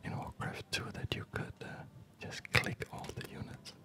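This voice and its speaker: A man giving hushed, unhurried ASMR commentary on a game, trailing off into pauses. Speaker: host of an ASMR gaming channel. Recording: music running behind the whisper. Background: game music.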